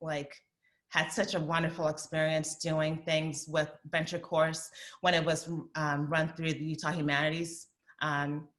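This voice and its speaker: A woman's voice: low voice, flat delivery, a bit bright. A woman in her thirties from the American South, speaking thoughtfully at a community forum and answering questions, with frequent fillers. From Southern United States. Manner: thoughtful, many fillers, quick bursts. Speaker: guest speaker. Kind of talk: forum talk and Q&A.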